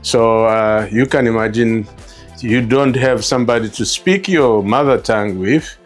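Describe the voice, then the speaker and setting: A middle-aged man from Rwanda with a Rwandan accent tells his personal story as an interview guest, halting and repeating words as he reflects. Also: medium pitch, faint music behind. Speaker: interview guest, store co-founder.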